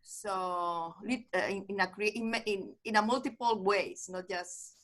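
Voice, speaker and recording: A woman's voice: medium pitch, a little slow, a bit bright. Earnest woman with a mid-range voice; video-call chat. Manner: earnest, searching for words. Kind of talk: casual group video call.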